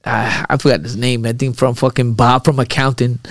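A man hosting a podcast, telling rambling, profane stories in an animated way. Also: mid-range voice, brisk, dry recording, studio quality. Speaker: podcast host and boxer. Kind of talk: casual podcast chat.

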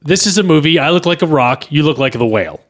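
A man's voice: a little high, expressive, fast talker. A man in his twenties co-hosting a podcast with casual, dryly insistent banter. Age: 20s. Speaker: podcast host and amateur screenwriter.

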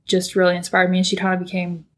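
A young woman from California talks casually as a podcast guest, rambling through her story with lots of fillers and self-corrections. Her voice sits low and stays fairly flat. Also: quick pace. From California, United States. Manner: chatty, rambling, with many fillers and restarts. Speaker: podcast guest, PhD student. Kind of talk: casual interview chat.

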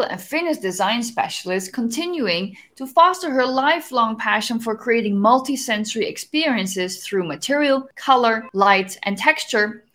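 A woman hosting a podcast interview, reading a measured introduction and then asking relaxed questions. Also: a little high. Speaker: podcast host. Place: Milan studio or home.

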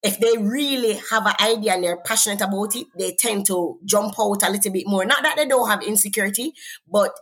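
A woman's voice: medium pitch, a little fast, fairly bright tone, breathy edge. A woman with a Jamaican accent, a guest author talking earnestly about faith and purpose in a preacherly way, often checking in with "right?". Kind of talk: conversational faith interview.

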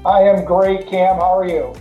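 A man's voice: high-pitched, steady tone, moderate pace, soft background music. A man joining a podcast as an interview guest, sounding cheerful and eager. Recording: tinny phone line, echoey.

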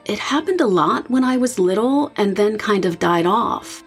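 A woman's voice: medium pitch, animated, normal speed, faint music behind, close to an everyday voice. A woman playing a young partygoer who is reflective and a little uneasy, in an audio horror drama. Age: young adult. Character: young woman partygoer, uneasy and open about eerie feelings.

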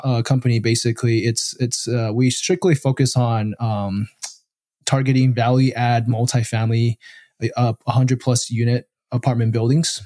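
A man from Taiwan speaks as a podcast guest in a mid-range voice. He talks casually, halting often with fillers and restarting his sentences. The sound is dry and close, with no room echo. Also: medium pitch, darkish tone. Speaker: podcast guest, real estate syndicator. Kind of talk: casual interview answers.

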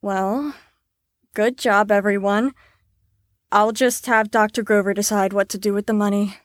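A woman's voice: mid-range voice, steady tone, a little slow, dry recording, clean studio sound, lightly acted. A woman playing a harried, rule-bound group leader in an audio drama, placating and officious.

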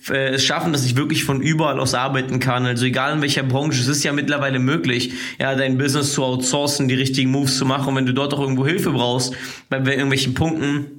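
A man's voice: mid-range voice, fairly bright tone. A young man hosting his own podcast, talking loosely in slang with many fillers and restarts, still coughing a little after a cold. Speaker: podcast host. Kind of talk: casual solo podcast monologue.

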